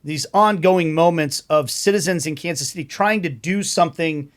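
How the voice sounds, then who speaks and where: A man hosting a podcast who runs a trivia quiz in a bright, upbeat way. His voice sits fairly high. The recording is dry and close-miked. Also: normal speed, no echo. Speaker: podcast host. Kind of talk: casual trivia quiz and show intro.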